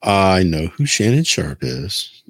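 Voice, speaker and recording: A man's voice: deep voice, big pitch swings, slow pace, husky, no room sound. A man co-hosting a casual podcast, chiming in with dry, wry remarks. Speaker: podcast co-host.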